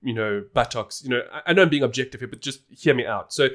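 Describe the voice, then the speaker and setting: A man's voice: mid-range voice, quick pace, fairly bright tone. A man hosting a podcast, explaining things in a casual, informal and emphatic way. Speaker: podcast host.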